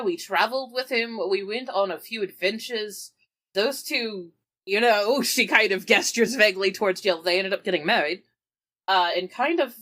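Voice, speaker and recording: A woman's voice: mid-range voice, fairly bright tone. A woman playing a tabletop roleplaying game, telling events in an informal, explanatory, conversational way. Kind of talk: conversational in-character storytelling.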